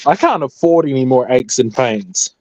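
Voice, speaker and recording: A man's voice: mid-range voice, animated, normal speed, a little mellow. A man in his late twenties chatting casually as a livestream guest, joking and self-deprecating. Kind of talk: casual chat.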